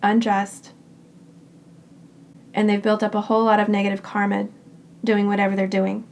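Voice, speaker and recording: A woman giving a tarot reading in short, unhurried phrases. Her voice is raspy and hoarse because she is getting over a cold. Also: mid-range voice. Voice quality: raspy, scratchy throat. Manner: calm and reassuring, speaks in short unhurried phrases. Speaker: tarot card reader. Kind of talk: pick-a-card tarot reading.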